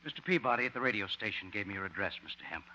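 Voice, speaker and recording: Radio sitcom father: a stiff, polite gentleman, low and bright-toned, dull vintage broadcast. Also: animated, racing, slight background noise. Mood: earnest. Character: reserved, grateful well-to-do father. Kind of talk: 1950 radio sitcom acting. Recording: muffled 1950 radio broadcast.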